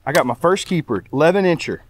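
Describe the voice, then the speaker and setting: A man's voice: on the high side, slight background noise. A man hosting a fishing vlog from a boat by a dock, exclaiming and laughing as he talks. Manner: excitable, exclaiming, quick laughs. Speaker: fishing channel host. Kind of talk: excited outdoor fishing vlog.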